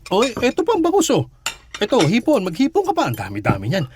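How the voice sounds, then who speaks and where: An actor playing a middle-aged, chatty, playful Filipino father who talks haltingly and repeats himself in a radio drama. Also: sits high, slight background noise. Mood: jovial, curious. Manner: halting, repetitive, animated teasing. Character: curious, chatty, playful father meeting son's girlfriend.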